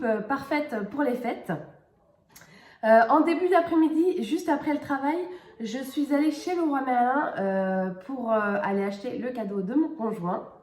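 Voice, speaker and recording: A woman's voice: on the high side, darkish tone. A middle-aged woman with a cold, chatting casually through a home vlog with many hesitations. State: has a cold. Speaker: vlogger. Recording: echoey room.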